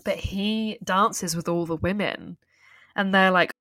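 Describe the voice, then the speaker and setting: A woman co-hosting a podcast, chatting casually and retelling film scenes in an animated way with laughs. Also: mid-range voice, takes it slowly, no room sound, faint music behind. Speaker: podcast co-host. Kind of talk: casual film discussion chat.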